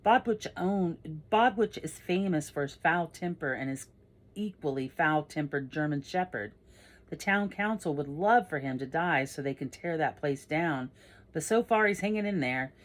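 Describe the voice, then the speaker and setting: A woman reads a level-headed middle-aged father in an audiobook. He gives advice in a measured, plain-spoken way, in a low register. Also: expressive. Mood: calm, cautioning. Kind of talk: character dialogue in audiobook.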